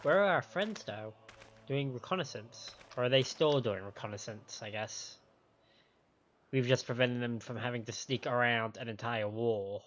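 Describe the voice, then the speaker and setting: A man's voice: mid-range voice, moderate pace, a bit bright, highs cut off, slight background noise. A man giving casual live commentary as a game streamer. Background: faint game music.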